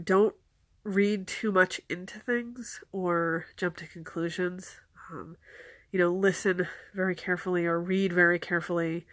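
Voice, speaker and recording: A woman with a stuffed-up nose from a cold, calmly explaining folklore and giving practical advice in a casual, earnest way. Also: on the low side, no room sound, clean studio sound.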